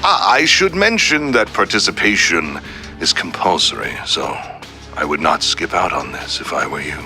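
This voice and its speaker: A man's voice: low voice, normal speed, soft background music, full character voice. A man voicing a suave, coldly polite crime boss delivering a formal, grandiose villain speech in a video game. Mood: veiled threat. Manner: formal, grandiose, calmly threatening.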